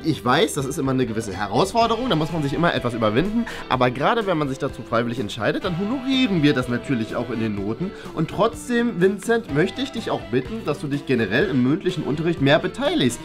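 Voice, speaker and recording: A man in his thirties playing a talkative, diplomatic schoolteacher in an improvised comedy sketch, hedging as he gently airs complaints. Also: on the high side, very animated, quick pace, few pauses. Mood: tactful, amused. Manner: hesitant, hedging, wordy. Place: small bright classroom-like office, indoors. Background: background music.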